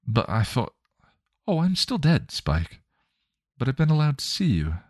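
A man voicing a gentle, kind female spirit, a mother who speaks soothingly. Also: mid-range voice, big pitch swings, a little slow, no room sound, clean studio sound, voice pushed up.

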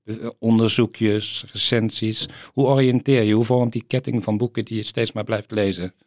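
A man's voice: on the low side, steady tone, moderate pace, a little mellow, dry recording. Reflective male interviewer, dry close sound. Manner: reflective, exploratory questioning. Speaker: podcast host, interviewer. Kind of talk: conversational interview.

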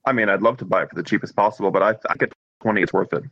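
A man's voice: on the low side, rapid-fire, husky, dry recording. A man co-hosting a podcast, giving casual, opinionated game review commentary over a lo-fi microphone.

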